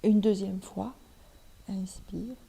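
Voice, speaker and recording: A woman leading a guided relaxation as a yoga teacher, giving step-by-step instructions with pauses between them. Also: mid-range voice, fairly even intonation, creaky, mellow, a little hiss or hum. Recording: long reverberant hall echo.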